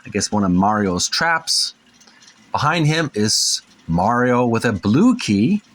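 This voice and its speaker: A man's voice: on the low side, sing-song, slow, fairly bright tone. A man hosting a casual show-and-tell in a folksy, rambling, hesitant way, sometimes reading captions aloud. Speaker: host of a retro gaming channel.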